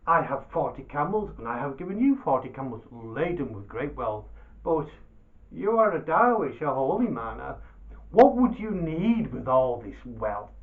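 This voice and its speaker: A man voicing a greedy, blustering camel driver who feigns concern, with emphatic, drawn-out pleading in a storybook telling. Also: a little high, sing-song, phone-quality sound. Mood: wheedling, insistent.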